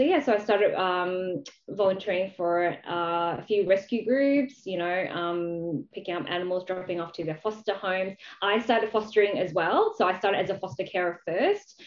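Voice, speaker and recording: A woman's voice: lowish voice, moderate pace. A woman from Malaysia talking casually and explaining her experience as an interview guest, often adding "you know" as she goes. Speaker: charity founder, interview guest. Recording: dull, roomy, echoey sound.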